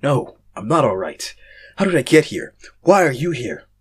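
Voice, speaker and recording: A man reading the part of a bewildered, sarcastic and easily panicked young stranger in a story, halting and exasperated. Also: mid-range voice, big pitch swings, slightly rough, pitched above the performer's own voice.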